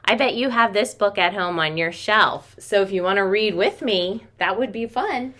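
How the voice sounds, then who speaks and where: An American woman in her thirties, a preschool teacher reading and explaining to young children in an expressive way with questions and drawn-out words. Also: mid-range voice. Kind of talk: children's story read-aloud and craft instruction. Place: small home room with sloped ceiling.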